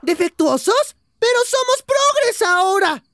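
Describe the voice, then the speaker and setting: A woman's voice: extremely high pitch, animated, slow pace, a bit bright, dry recording, full character voice. A woman with a Latin American accent voicing an anxious, defensive nerdy young woman in a cartoon parody fan dub. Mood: indignant.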